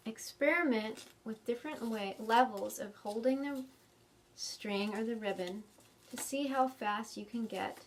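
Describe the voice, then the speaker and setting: A woman's voice: fairly high pitch, a little hiss or hum. A woman with an American accent hosting a preschool storytime, speaking simply and encouragingly to young children. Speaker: children's librarian, storytime host. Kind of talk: preschool storytime craft instruction. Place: indoors in a library.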